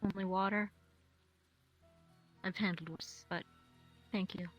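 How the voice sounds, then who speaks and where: Reserved, stoic woman for a fantasy game acted by a woman, slow, measured, few words. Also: mid-range voice, fairly even intonation, soft background music, natural voice. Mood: guarded, polite.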